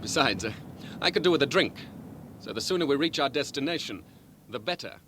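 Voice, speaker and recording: A suave, genial young husband in a radio drama. His mid-pitched voice moves with lively, expressive intonation, affectionate yet guarded. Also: fairly quick, a little hiss or hum. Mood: genial, playful.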